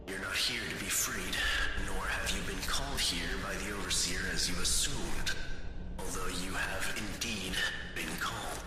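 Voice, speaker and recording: A low-voiced authoritarian facility announcer for a video game. The delivery is formal, cold and commanding. It rings out with heavy echo over a PA, with music clearly behind it. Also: male voice. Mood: cold, ominous. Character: stern male headquarters announcer, cold, authoritarian, occasionally mocking. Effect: public-address reverb. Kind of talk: scripted video game public-address announcements. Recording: echoing PA with long reverb, music behind.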